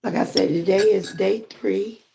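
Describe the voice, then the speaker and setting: Hoarse, slow-talking Southern woman. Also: mid-range voice, background noise. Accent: Southern US (Georgia). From Georgia, USA. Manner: relaxed, chatty, greets viewers often. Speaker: lifestyle vlogger and channel host. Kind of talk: casual live-stream chat with viewers.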